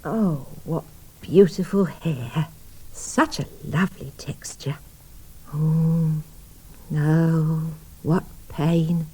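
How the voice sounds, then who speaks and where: An actress playing a gentle, sentimental spirit medium with hushed, dramatic delivery in a radio play. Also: female voice, deep voice, fairly even intonation, drawn-out words, breathy edge, full character voice, rough recording. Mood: entranced.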